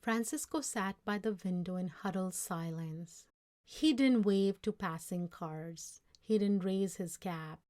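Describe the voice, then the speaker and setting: A woman narrates a children's storybook. Her pace is slow and deliberate, with a medium pitch and a measured read-aloud delivery. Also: a little mellow. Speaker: story reader.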